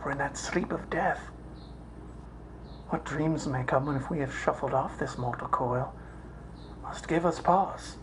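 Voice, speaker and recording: A performer playing a brooding Shakespearean prince in a theatrical soliloquy, deliberate and pausing, in a home recording. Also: mid-range voice, close to an everyday voice. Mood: musing, subdued. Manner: deliberate, pausing, dropping to whispers. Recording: noisy amateur home recording.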